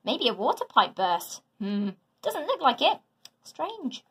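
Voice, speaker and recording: A practical, caring storybook mother, as a woman reader plays her. She questions firmly but reassures as she goes. Her voice sits fairly high and she takes her time over the words. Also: very animated, slow, fairly bright tone, husky, exaggerated voice. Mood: puzzled, firm. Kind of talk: children's storybook read aloud.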